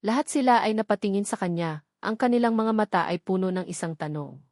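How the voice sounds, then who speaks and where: A woman with a Filipino accent narrates a scripted dramatic story in a measured, solemn way with deliberate pauses. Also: medium pitch, darkish tone, no room sound, clean studio sound. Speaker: audiobook narrator.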